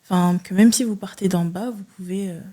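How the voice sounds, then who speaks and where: A young woman in her early twenties with a dark, relaxed voice at an average pitch. She is from France and talks casually as a podcast guest, feeling her way through her answers in informal phrasing. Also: brisk. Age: early 20s. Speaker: podcast guest, judo athlete. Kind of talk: casual interview talk.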